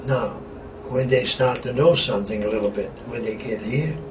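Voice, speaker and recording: A man's voice: medium pitch, normal speed. An older man from Antwerp, a retired wrestler reminiscing in a blunt, rambling, profane way. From Antwerp, Belgium. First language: Flemish. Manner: blunt, rambling, swears freely. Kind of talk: casual reminiscing chat. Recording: distant, roomy, dull lo-fi with background hiss.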